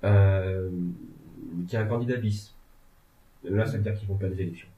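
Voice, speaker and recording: A man's voice: on the low side, steady tone, normal speed, warm tone. A man discussing politics in a casual, rambling way, with many hesitations and joking asides. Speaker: legal and political commentator. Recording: tinny phone-like sound in an echoey room.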